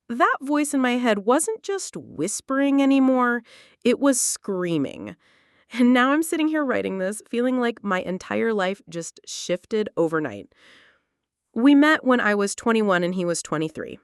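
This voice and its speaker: A woman in her late twenties narrating a personal story in the first person, confessional and lively. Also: mid-range voice, dry recording, studio quality. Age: late 20s.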